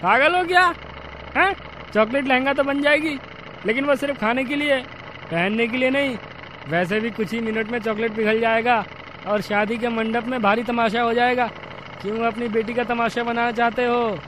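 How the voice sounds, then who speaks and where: A blunt factory worker in an animated tale. He sounds incredulous and scolding, with noisy machinery-like ambience behind his voice. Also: extremely high pitch, moderate pace. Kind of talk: dramatic story acting.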